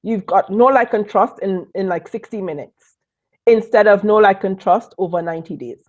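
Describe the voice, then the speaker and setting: A woman from Trinidad with a Trinidadian accent mixed with British tones, giving a lively live online business training and repeating key points for emphasis. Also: medium pitch, normal speed, highs cut off. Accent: Trinidadian, mixed with British and Northern Irish. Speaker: business coach.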